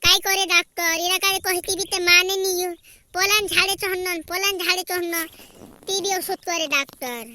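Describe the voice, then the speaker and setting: A man's voice: extremely high pitch, steady tone, moderate pace, sharp tone, no room sound, clean studio sound, exaggerated voice. A man voicing an anxious, fussing village relative in a comedy cartoon, complaining and repeating things. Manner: repetitive, complaining. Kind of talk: amateur regional comedy cartoon dubbing.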